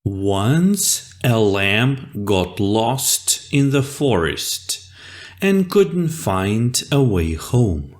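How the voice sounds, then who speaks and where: A storyteller narrating a children's fable in an expressive, deliberate and dramatic way. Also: mid-range voice.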